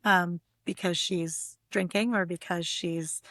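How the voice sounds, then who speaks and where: A woman's voice: on the low side, steady tone, slow, a bit bright, no echo. A woman speaking as a guest poet and editor in a literary conversation. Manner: effusive, conversational. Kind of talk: casual literary conversation with poem reading.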